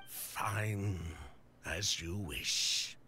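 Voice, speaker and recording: A man's voice: on the low side, pushed and bright, slight background noise, full character voice. An actor playing a weary, reluctant, bitter male ex-convict in a video game, telling his story with dramatic resignation. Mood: resigned, bitter. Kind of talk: scripted video game voice acting.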